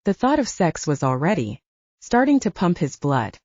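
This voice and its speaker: A woman narrates romance fiction in a scripted audiobook style. Her pitch sits a little low but moves in lively swings. The recording is close and dry. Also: moderate pace, no room sound, dull audio.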